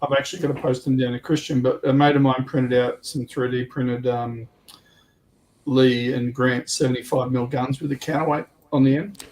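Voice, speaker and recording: A man's voice: medium pitch, fairly even intonation, moderate pace, a little mellow, poor audio. An Australian man chatting casually on a hobby livestream panel, with hesitant, rambling phrasing. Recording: clear background noise on livestream.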